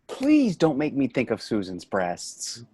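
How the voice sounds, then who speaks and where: Sardonic man in a play, animated delivery. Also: medium pitch, measured pace, a little hiss or hum. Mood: dry, sarcastic. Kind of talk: staged play reading.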